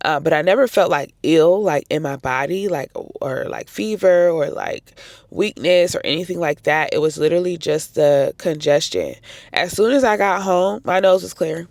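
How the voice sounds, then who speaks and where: An American woman with a raspy voice, hoarse from a recent cold, casually recapping a trip to viewers in an emphatic, conversational way. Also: lowish voice, moderate pace, a little mellow, dry recording. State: hoarse from recent congestion and cough. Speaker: travel vlogger.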